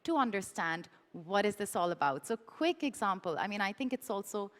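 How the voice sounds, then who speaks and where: A woman panel guest talks in an articulate, analytical way with long fluent sentences. Her voice sits at a middle pitch. A long hall reverb trails each phrase. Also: very animated, brisk, fairly bright tone. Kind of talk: panel discussion.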